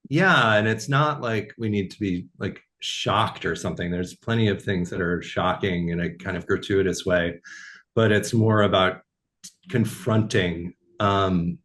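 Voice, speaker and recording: Eager man interviewer, lowish voice. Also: unhurried, fairly bright tone. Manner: eager, agreeing, opinionated. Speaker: film critic interviewer. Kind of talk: interview conversation.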